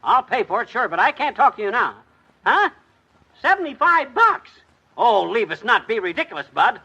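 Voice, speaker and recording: An actor playing a blustery, boastful middle-aged husband in a 1950s radio sitcom. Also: male voice, extremely high pitch, very animated, pushed and bright, slightly rough, dull audio, full character voice. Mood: flustered. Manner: blustering, quick to bluster at others.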